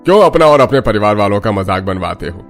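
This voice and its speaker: A man's voice: lowish voice, big pitch swings, quick pace. A man playing a scheming village headman and tomato farmer in a cartoon story, taunting and condescending. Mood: mocking. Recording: music behind the voice.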